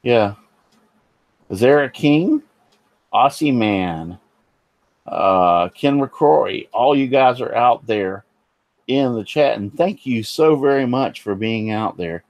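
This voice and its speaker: A man hosting a casual live-streamed woodworking talk show, sounding nasal and a little hoarse, rambling with many fillers and restarts. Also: medium pitch, expressive, slow pace, pauses often, no room sound.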